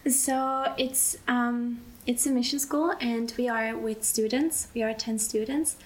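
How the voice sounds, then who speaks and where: A young woman from Belgium, a mission school student, answering interview questions in an unhurried way and often pausing to find her words. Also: on the high side, fairly even intonation, poor audio. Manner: unhurried, searching for words, restarts.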